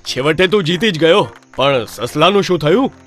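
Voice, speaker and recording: An actor playing a stern, fair-minded wolf in a children's cartoon story, speaking in an emphatic, admonishing way. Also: male voice, on the high side, expressive, moderate pace, fairly bright tone, soft background music. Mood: pleased.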